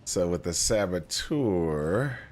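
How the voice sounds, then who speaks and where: A man's voice: low voice, animated, slow, a little mellow. A young man streaming a video game, talking casually with many fillers and restarts while sniffling from a cold. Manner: rambling, lots of fillers and restarts. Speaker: gaming streamer. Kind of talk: casual gameplay talk.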